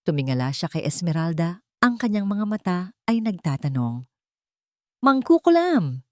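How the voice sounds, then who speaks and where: A woman narrating a scripted audio drama in a measured, dramatic manner, pausing for suspense. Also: lowish voice, expressive, mellow, no room sound, studio quality. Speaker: story narrator.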